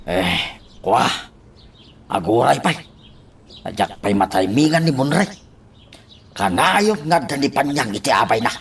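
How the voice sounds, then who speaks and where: Casting: male actor for evasive radio-drama sidekick, jittery and stammering, animated intonation. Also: mid-range voice, a little slow, pauses often, slightly rough, full character voice. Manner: stammering, hesitant. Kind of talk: Ilocano radio drama acting.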